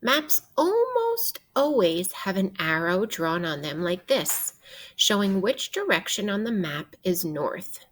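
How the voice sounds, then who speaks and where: A woman teacher reading a children's book aloud in an expressive way, guiding young listeners with questions and pauses. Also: medium pitch. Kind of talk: interactive read-aloud of a children's nonfiction book.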